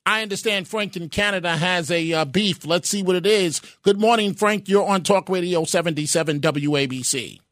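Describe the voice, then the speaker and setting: A man hosting a call-in radio show, who cuts in on callers with quick repeated interjections. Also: high voice, fairly bright tone, no echo, clean studio sound. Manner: talks over callers with rapid repeated interjections. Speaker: radio talk show host.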